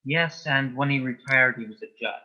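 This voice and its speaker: A man with a dry, deadpan sense of humour, telling anecdotes and jokes as an interview guest, in a husky voice. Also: medium pitch, moderate pace, lo-fi sound. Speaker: interview guest, author and former folklorist.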